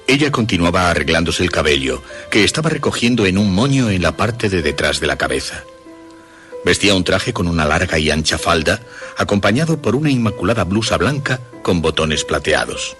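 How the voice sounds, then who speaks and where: A man's voice: low-pitched, animated, brisk, a bit bright. A man narrating a scripted suspense story as its storyteller. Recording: music behind the voice. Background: music.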